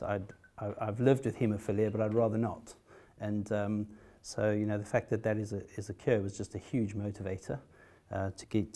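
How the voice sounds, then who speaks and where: South African man, halting and wry. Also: fairly low pitch, mellow. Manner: hesitant, many fillers and restarts, wry humor. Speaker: patient speaker. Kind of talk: conference talk.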